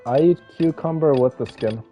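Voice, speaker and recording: A man's voice: on the high side, normal speed, mellow. A man hosting a casual livestream, chatting familiarly with viewers as if they were friends. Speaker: livestream host. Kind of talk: casual livestream chat, reading names and greeting viewers. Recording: dull lo-fi audio with music behind. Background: background music.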